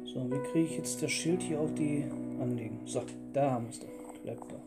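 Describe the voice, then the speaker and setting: A man's voice: medium pitch, brisk. A man hosting a gaming video, talking casually as he plays. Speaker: gaming channel host and player. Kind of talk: casual Let's Play chat. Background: loud plucked guitar music.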